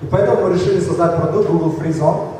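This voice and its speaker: A man with a Kyrgyz accent, slightly hoarse from a cold, giving a halting conference talk with slides. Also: a little high, dark tone, slight background noise. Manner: halting, many fillers and restarts. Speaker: conference speaker. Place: large echoey hall. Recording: echoey hall from far off.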